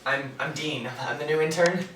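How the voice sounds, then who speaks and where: A man's voice: fairly high pitch, moderate pace, fairly bright tone, lightly acted. An actor playing a nervous, eager young male intern who stammers and apologizes timidly. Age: young adult. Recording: distant, echoey stage recording with hiss.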